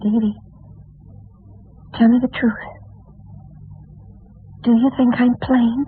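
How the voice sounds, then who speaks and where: An actress playing a desperate, insecure young heiress bride in a radio melodrama, pleading and repeating herself. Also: female voice, a little high, flat delivery, takes it slowly, husky, exaggerated voice. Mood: anxious, pleading. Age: young adult. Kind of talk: old-time radio melodrama acting. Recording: thin narrowband vintage radio recording.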